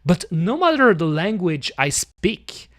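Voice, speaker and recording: A man's voice: sits high, very animated, takes it slowly, a bit bright, dry recording, studio quality. A young man from Belgium with a Belgian French accent, chatting casually as a streamer and rambling with lots of fillers. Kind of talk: casual chat.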